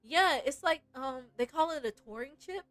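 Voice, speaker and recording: Woman game streamer, kinda high bright voice, chatty and giggly. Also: normal speed, no echo. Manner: animated, giggly, chatty reactions. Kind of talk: casual livestream chat with read-aloud game lines.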